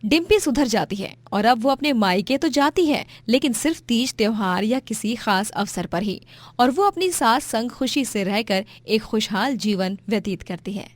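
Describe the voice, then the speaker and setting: A woman's voice: on the high side, animated, moderate pace, no echo. A woman reading a scripted moral story as its narrator.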